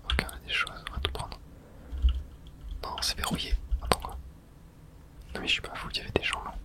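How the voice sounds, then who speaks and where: A man gives video game commentary in a steady ASMR whisper. He speaks almost entirely without voice, murmuring short, fragmented reactions to himself as he plays. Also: fairly quick.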